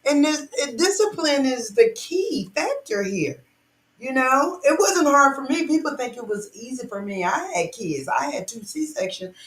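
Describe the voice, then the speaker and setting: Woman host, upbeat and animated, voice on the high side. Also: a little slow. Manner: animated, hyping guest. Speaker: podcast host. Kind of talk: casual podcast chat. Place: podcast studio.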